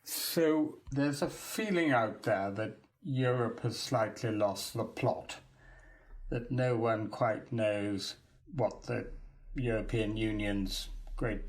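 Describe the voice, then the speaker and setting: A man from the UK, a guest on a podcast, explaining a project in a measured, articulate way with some restarts. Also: fairly low pitch. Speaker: guest, academic project leader.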